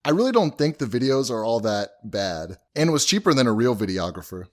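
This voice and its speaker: An actor playing an earnest, thrifty husband who explains and justifies himself in an audio drama. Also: male voice, medium pitch, sing-song, brisk, a little mellow, natural voice. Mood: defensive, earnest. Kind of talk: acted audio drama dialogue.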